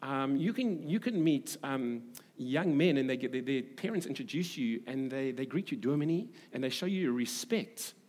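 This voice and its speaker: A man from Durban with a South African English accent, preaching as a pastor in a conversational, halting way with repeated phrases for emphasis. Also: mid-range voice, expressive, a little mellow. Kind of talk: sermon with scripture reading and conversational asides. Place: large reverberant hall, preaching to a congregation. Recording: echoey hall with long reverb.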